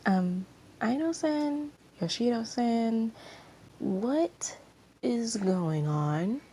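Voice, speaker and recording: Flustered young ingenue for a story reading, done by a female narrator: timid, halting, higher-pitched, soft-edged. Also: lively intonation, a little hiss or hum. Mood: confused. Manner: hesitant, stammering. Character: timid, innocent young actress, easily flustered.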